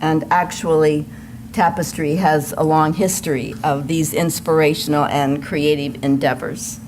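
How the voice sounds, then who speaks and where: A woman speaking as a worship associate, giving a measured, formal welcome and announcements to a congregation in a noisy live room recording. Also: sits low, steady tone. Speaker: worship associate at a church service.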